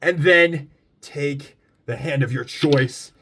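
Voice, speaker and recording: A man hosting a casual toy unboxing and review, gushing and excitable as he demonstrates things step by step in informal language. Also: on the high side, slow, husky. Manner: gushing, excitable, exclaims often. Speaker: toy review video host.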